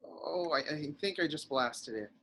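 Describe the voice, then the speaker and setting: Eager, anxious young adventurer played by a woman, husky tone, very mobile pitch, for a sci-fi script reading. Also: expressive, unhurried, a bit bright, full character voice, rough recording. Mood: sheepish. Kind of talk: script table read. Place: virtual read, recorded remotely.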